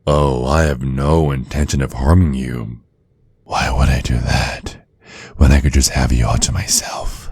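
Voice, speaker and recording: A man playing a menacing, possessive vampire neighbor in an intimate ASMR roleplay, speaking in a slow, teasing, seductive way straight to the listener. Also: very deep voice, level tone, darkish tone, no room sound, studio quality, exaggerated voice. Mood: smug, hungry. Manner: slow, teasing, deliberate, with breathy drops toward a whisper.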